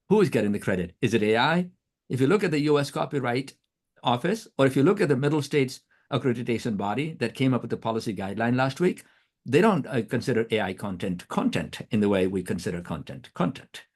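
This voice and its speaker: A middle-aged man whose first language is Nepali, giving an earnest academic talk, often restarting words, with a throat irritated by allergy. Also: mid-range voice. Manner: earnest, questioning, frequent restarts. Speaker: guest speaker, professor.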